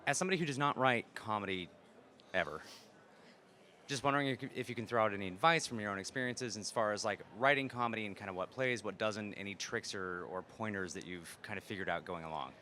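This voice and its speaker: Bright, lowish-voiced man asking a question. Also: fast. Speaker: audience member asking a question. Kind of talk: casual spoken question.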